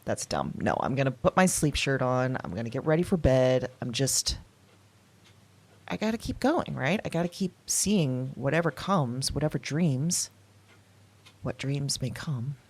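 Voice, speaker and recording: An actress playing a shaken, anxious woman alone, confiding haltingly into a night journal in an audio drama. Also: very deep voice, lively intonation, a little mellow, husky, full character voice. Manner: hesitant, confiding, halting self-talk. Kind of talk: intimate audio drama monologue. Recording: music under the voice. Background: music.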